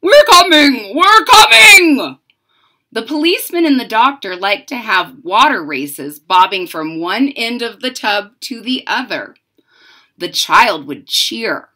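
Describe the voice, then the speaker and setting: Casting: female storyteller, 30s-40s, lively pitch swings, unhurried, emphatic delivery for young listeners. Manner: deliberate, dramatic emphasis. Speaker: storyteller reading a picture book aloud. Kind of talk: expressive children's read-aloud with chatty asides. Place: room at home.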